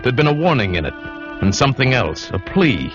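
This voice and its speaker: A man's voice: mid-range voice, very animated, moderate pace, natural voice. An actor playing an earnest, uneasy seafaring man in a dramatic old radio adventure. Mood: brooding. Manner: brooding, dramatic. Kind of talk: 1940s-50s radio drama narration and dialogue. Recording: muffled vintage radio, music behind.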